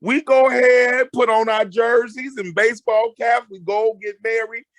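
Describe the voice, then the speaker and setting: A loud, emphatic middle-aged man giving animated, conversational advice as a guest counselor, often repeating phrases to drive them home. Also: very high voice, normal speed, no echo. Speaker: guest marriage counselor and mentor.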